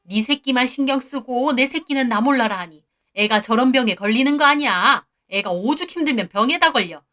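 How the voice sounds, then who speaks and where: A loud, high-pitched older mother-in-law, put on by a woman narrator, hurls coarse insults in a shrill, scolding voice. She shouts and berates without letting up, as in a dramatized storybook reading. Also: moderate pace, close to an everyday voice. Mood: furious.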